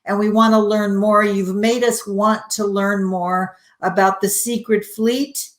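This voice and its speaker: A woman's voice: mid-range voice, little pitch movement, measured pace, roomy sound. A woman hosting an interview, asking questions and showing her appreciation to her guest. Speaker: interview host. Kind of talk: conversational interview.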